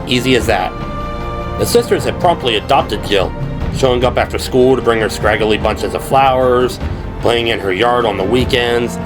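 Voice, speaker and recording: A man reading scripted dramatic narration as an audiobook narrator, in a measured, descriptive storytelling manner. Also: mid-range voice. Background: prominent music.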